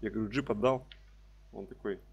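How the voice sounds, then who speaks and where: A man's voice: lowish voice, fairly even intonation, fairly quick, a little mellow, breathy edge. A man chatting with teammates over game voice chat, casually telling a story and filling his talk with fillers, on a headset mic. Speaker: gamer in voice chat.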